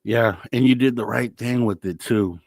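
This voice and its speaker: A man's voice: medium pitch, moderate pace, darkish tone, dry recording, studio quality. A man hosting a live radio talk show in a casual, informal, effusive way.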